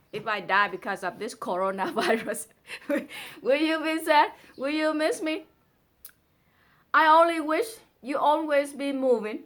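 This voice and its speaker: A woman's voice: sits high, sharp tone. A woman with a Vietnamese accent, a native Vietnamese speaker, casually reading a poem with playful, chatty asides. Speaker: presenter reading a translated poem.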